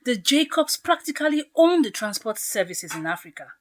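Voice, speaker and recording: A woman acts out a bossy young bridesmaid in a radio drama. Her pitch is high and swings widely as she scolds, emphatic, indignant and preoccupied with status. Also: very animated, moderate pace. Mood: urgent, insistent.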